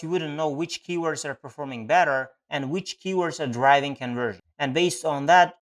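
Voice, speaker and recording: Male tutorial presenter, slightly high voice, instructional delivery with non-native phrasing. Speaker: Google Ads instructor. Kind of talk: screen-share tutorial narration.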